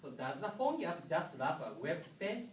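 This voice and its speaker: A man from Japan with a Japanese accent, a non-native English speaker giving a technical conference presentation with halting, pause-filled phrasing. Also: on the high side, big pitch swings. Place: lecture room. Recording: echoey room, distant mic, faint noise.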